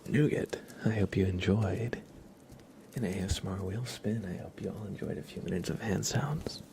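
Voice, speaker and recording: A man hosting an ASMR livestream, chatting casually in a soft, gentle, whispered way. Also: fairly low pitch, moderate pace, creaky, a little hiss or hum.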